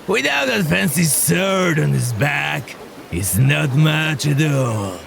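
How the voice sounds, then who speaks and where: A man's voice: on the high side, very animated, vocal fry, background noise, heavily acted. A man playing a hulking, cocky fish-man warrior who jeers and taunts in an audio drama. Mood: smug taunting. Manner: taunting, slow swagger.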